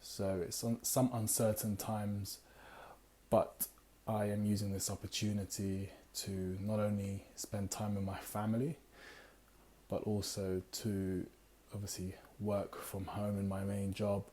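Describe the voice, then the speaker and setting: A tired man with a slightly raspy voice hosts a casual book review, talking unhurriedly with many fillers and reading passages aloud. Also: on the low side, monotone, a little slow, mellow. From United Kingdom. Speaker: book club host.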